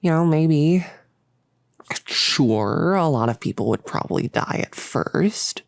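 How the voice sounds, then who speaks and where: A performer playing an earnest, rambling cultist experimenter in a roleplay audio, with casual, halting, awkwardly optimistic delivery, close to the mic. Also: mid-range voice, lively intonation, vocal fry, no echo. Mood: earnest, hopeful. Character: earnest, rambling, chirpy cultist scientist, gently bossy. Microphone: close, dry studio-style microphone.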